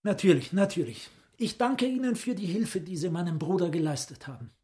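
Very husky, courteous gentleman. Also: sits high, animated, brisk. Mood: polite, startled. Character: cultivated, courteous asylum director, earnest concerned younger brother. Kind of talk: radio drama acting.

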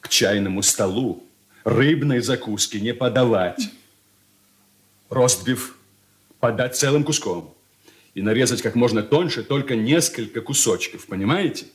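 A man's voice: mid-range voice, a little slow, long pauses, natural voice. An actor playing a fussy, irritable grown son who bosses his household with peevish, precise instructions in a Soviet radio play. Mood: fussy, bossy. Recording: roomy 1980s radio drama studio sound.

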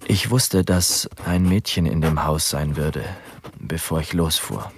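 An actor in a radio drama playing an accused murderer who confesses in a halting, matter-of-fact way, his voice gravelly and husky. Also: very deep voice, no room sound, full character voice. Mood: bleak, confessional. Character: accused murderer, man, slow, bleakly confessing his intentions. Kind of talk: German radio drama acting, monologue.

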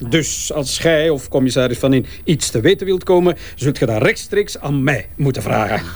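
A man's voice: medium pitch, lively intonation, moderate pace. An actor playing a smug, needling investigator in a Flemish crime drama, with a taunting, colloquial delivery, a man's voice. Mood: smug, gloating. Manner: taunting, self-satisfied, colloquial. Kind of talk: naturalistic Flemish radio crime drama acting.

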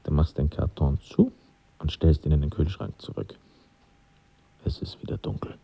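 An actor playing a wry womanizer, telling stories theatrically in a gravelly, raspy voice for an audio drama. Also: a bass voice, normal speed, dark tone, in a whisper, full character voice. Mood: wry, dramatic. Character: wry womanizing male friend telling a bleak parable. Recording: dull studio drama sound.

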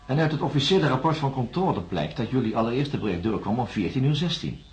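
An actor playing a calm, patient male psychiatrist in a science fiction radio drama, speaking formally and deliberately. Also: mid-range voice, lightly acted. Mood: calm, probing. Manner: measured, formal, deliberate phrasing with pauses. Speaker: doctor. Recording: dull lo-fi radio recording, music.

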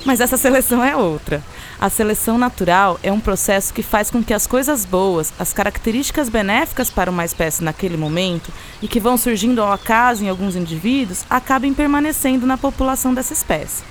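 A woman's voice: mid-range voice, lively intonation, fast, a little hiss or hum, natural voice. An actress with a Brazilian accent playing a friendly, knowledgeable woman who patiently explains things in conversational radio drama dialogue, with some teasing. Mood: patient explaining.

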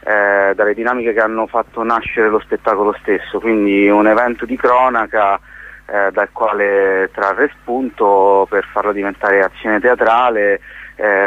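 A man giving an informal radio interview as a theatre organiser, explaining events in a halting, hesitant way. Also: fairly low pitch. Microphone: phone call. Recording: tinny, narrow phone line on radio.

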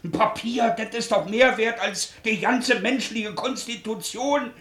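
Desperate ex-convict shoemaker, 1950s radio play; high voice, colloquial, pleading, roomy sound. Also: male voice, a little slow, a bit bright. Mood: agitated, stubborn. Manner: colloquial, ungrammatical, plaintive.

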